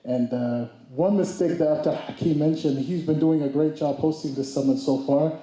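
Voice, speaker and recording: A man in his twenties giving a speech at a community summit, halting with restarts and mixing in Somali phrases. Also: a little high, steady tone, mellow, echoey room, poor audio. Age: 20s. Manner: hesitant, many restarts and fillers; mixes Somali phrases into English. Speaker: speaker. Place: event hall.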